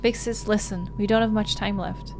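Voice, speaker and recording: A woman's voice: mid-range voice, steady tone, normal speed, noisy background, close to an everyday voice. A woman voicing a young lost little sister from a visual novel, earnest, pleading and insistent. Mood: urgent pleading. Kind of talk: visual novel character read aloud.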